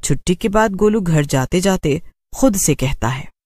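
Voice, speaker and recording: A man's voice: sits high, expressive, measured pace, darkish tone, no room sound, clean studio sound. A man narrating a scripted children's horror story as a storyteller.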